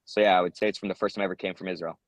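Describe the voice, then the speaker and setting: Fast-talking young American man, many ums. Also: low-pitched, steady tone, no room sound. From United States. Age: young adult. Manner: earnest, rambling, many ums and uhs. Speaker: interviewee, soldier. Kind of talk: casual interview answers.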